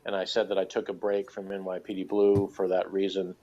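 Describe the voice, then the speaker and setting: A man's voice: deep voice, monotone, fairly quick, warm tone. A man in casual conversation as a podcast co-host, talking in a hesitant, rambling way and often correcting himself mid-sentence. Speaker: podcast co-host reviewing TV shows. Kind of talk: casual chat. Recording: dull lo-fi audio.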